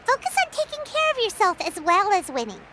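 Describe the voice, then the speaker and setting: A woman's voice: extremely high pitch, wildly swooping pitch, brisk, very noisy, heavily acted. A woman voicing a small, chatty and caring girl companion in a video game. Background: loud game music.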